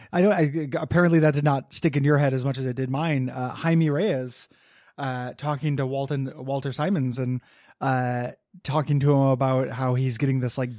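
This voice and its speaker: A man's voice: mid-range voice, fairly even intonation, moderate pace, a little mellow, dry recording, clean studio sound. A man co-hosting a podcast who rambles through pop-culture riffs and laughs often while chatting casually. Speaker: podcast co-host. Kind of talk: casual chat.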